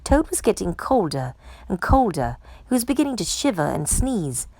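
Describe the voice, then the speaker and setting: Female children's storybook reader, very animated pitch, expressive delivery, dry sound. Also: normal speed, dry recording. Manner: animated, acts out character dialogue. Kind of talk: expressive children's read-aloud.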